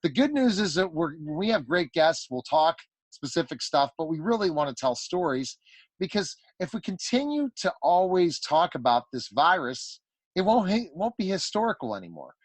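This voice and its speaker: A man's voice: a little high, sing-song, normal speed, dry recording. A man hosting a radio show with casual, conversational interview banter.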